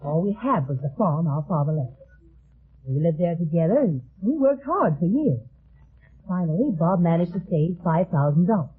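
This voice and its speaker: An actress playing a worried, earnest farm woman in a 1950s radio detective drama, speaking haltingly on a muffled old recording. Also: lowish voice, sing-song, breathy edge, exaggerated voice. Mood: anxious, earnest. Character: anxious farm woman seeking her missing brother.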